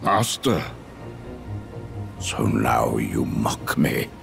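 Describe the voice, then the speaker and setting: Very gravelly old master. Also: male voice, very deep voice, big pitch swings, fairly bright tone, soft background music, exaggerated voice. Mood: wary, indignant. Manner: slow, grave, wary. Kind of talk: video game cutscene acting.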